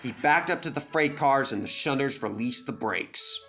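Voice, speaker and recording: A man's voice: mid-range voice, lively intonation, normal speed, sharp tone, soft background music. A man narrating a scripted children's story as a dramatic storyteller.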